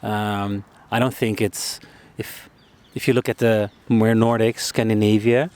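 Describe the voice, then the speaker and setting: A man's voice: lowish voice, level tone, measured pace, darkish tone, smooth. Man, street interviewer, conversational, restarts, outdoor park chat. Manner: conversational, restarts, many "you know"s.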